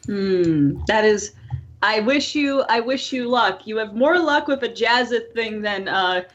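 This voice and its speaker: A woman's voice: medium pitch, lively intonation, a little slow. A woman co-hosting a podcast in casual, playful, rambling chat. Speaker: podcast co-host. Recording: slightly dull, lo-fi audio.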